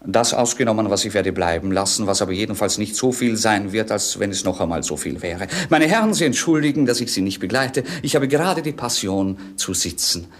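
An actor playing a bored, witty young prince in a 1950s radio play, with a mannered, mocking stage delivery. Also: male voice, fairly low pitch, lively intonation, a little fast, hardly any pauses. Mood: ironic, languid.

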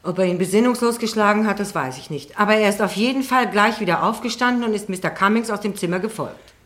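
An actress playing a defensive, prim neighbour on the witness stand in a radio drama, guarded, indignant and reluctant. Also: female voice, mid-range voice, fast, fairly bright tone, lightly acted. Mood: certain. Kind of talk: German radio courtroom drama acting.

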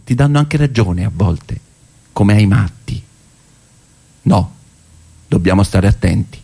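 A man acting a wry, wary old leftist militant in a deliberate, ironic stage monologue. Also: on the low side, animated, vocal fry, dark tone, breathy edge, heavily acted. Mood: wry, self-mocking. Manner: deliberate, ironic, with weighted pauses. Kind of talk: theatrical political monologue.

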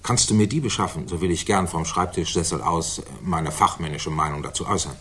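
Senior official, low husky voice, pompous, formal, deliberate, authoritative, 1960s German radio play. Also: male voice, a bit bright. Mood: urgent, imperious. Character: Mycroft Holmes, weighty, authoritative, self-satisfied senior government official.

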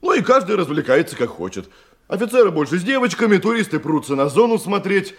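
A man's voice: high-pitched, sing-song, fast. A man in a Russian radio drama playing an evasive, servile criminal underling who hesitates, stammers and stalls. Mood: hesitant, ingratiating. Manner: hesitant, stammering, repeats questions back.

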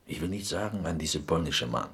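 An actor playing an earnest, excitable Greek prisoner in a radio drama, telling stories insistently in halting, broken speech with a Greek accent. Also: male voice, extremely low pitch, full character voice. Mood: insistent, hushed. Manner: halting broken German, insistent, half-whispered storytelling.

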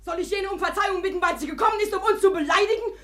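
A woman's voice: very fast, fairly bright tone. An actress playing a fiery, outspoken young woman, scornful and indignant, in a 1950s German radio drama. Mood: outraged. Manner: shrill, scornful. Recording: 1950s radio recording, voices behind.

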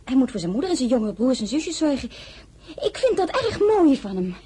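An actress playing a gentle older sister in love, earnest and defensive. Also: female voice, high voice, big pitch swings, a little fast, slightly rough, heavily acted.

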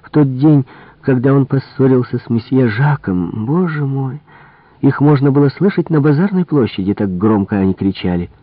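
A gossipy, indignant young French housemaid, acted out by a man, pitched high and swooping as she chatters in a storybook reading. The audio is narrow and phone-like. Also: normal speed, no room sound, natural voice. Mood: gossipy relish. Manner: animated, exclamatory gossiping.

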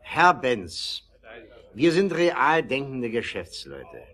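A man's voice: medium pitch, wildly swooping pitch, slow, fairly bright tone, full character voice. A man playing a cautious, money-minded business partner in a German radio play, dismissive and condescending. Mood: dismissive, patronizing. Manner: condescending, emphatic. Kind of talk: German audio drama acting.

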